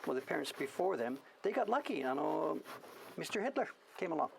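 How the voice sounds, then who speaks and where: A man's voice: on the high side, sing-song, a little fast, creaky, a little hiss or hum, poor audio. A man in his seventies with a generic Canadian accent, chatting casually in a rambling, musing way as he works. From Canadian prairies, born in England. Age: 70s. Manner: rambling, musing, self-questioning. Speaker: printmaker hosting a studio stream. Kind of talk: casual reminiscing chat while working.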